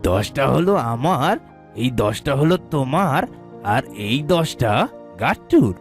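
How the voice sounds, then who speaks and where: Cartoon folk-tale man played by a woman: grasping, scheming, very deep, animated intonation over faint music. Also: takes it slowly, heavily acted. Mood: bossy.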